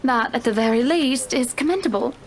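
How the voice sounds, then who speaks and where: A woman's voice: sits high, fairly quick. A woman playing a wealthy, gracious but condescending female client in a visual novel game, full of genteel, patronizing endearments. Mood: smug approval.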